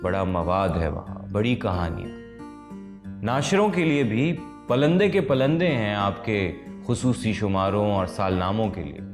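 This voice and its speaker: An actor playing a sardonic, world-weary writer in a television period drama, with dry, courteous irony. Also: male voice, lowish voice, expressive, a little slow, soft background music. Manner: dry, ironic, courteous sarcasm. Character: sardonic, world-weary male Urdu short-story writer.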